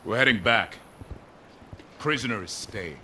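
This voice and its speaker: An actor playing a stern, blunt commanding agent in a video game, gruff and scolding in manner. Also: male voice, on the low side, expressive, a little slow, bright, forceful tone, exaggerated voice. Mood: stern, angry. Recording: clear engine-like background noise.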